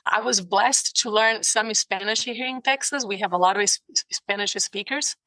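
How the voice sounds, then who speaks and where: A woman's voice: mid-range voice, moderate pace, sharp tone, dry recording, rough recording. A middle-aged woman from Brazil with a Brazilian Portuguese accent, a nurse guest speaking earnestly in a podcast interview. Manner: earnest and heartfelt.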